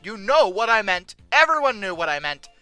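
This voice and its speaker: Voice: mid-range voice, big pitch swings, moderate pace, sharp tone, clear, resonant tone, no echo, soft background music. A video host giving casual, humorous commentary in a sarcastic, exasperated manner with dramatic emphasis.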